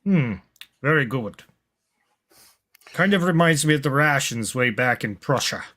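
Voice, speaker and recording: A man improvising as a seasoned, unhurried military captain in a tabletop roleplaying game, with a deliberate manner. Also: medium pitch, animated, pushed and bright. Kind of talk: improvised in-character roleplay and narration.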